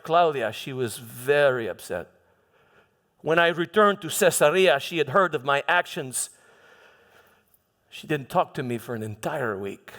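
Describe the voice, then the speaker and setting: A man playing a proud, defensive Roman prefect and soldier in a dramatic monologue, halting and repeating words as he tells his story theatrically. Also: on the high side, fairly bright tone. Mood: proud, defiant. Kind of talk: first-person church dramatic monologue. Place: church, live dramatization. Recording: reverberant hall.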